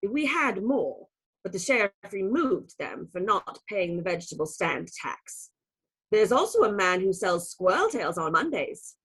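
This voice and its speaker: A woman's voice: medium pitch, big pitch swings, unhurried, a bit bright, breathy edge, full character voice, poor audio. Woman playing prim, earnest nun. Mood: proud. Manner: prim, formal, firm. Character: Sister Tabitha, earnest, proud, stern nun. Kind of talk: radio play acting.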